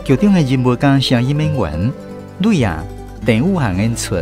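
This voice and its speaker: Lively Taiwanese man narrating over prominent music. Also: mid-range voice, moderate pace. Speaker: radio host and narrator. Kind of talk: scripted radio narration and introductions.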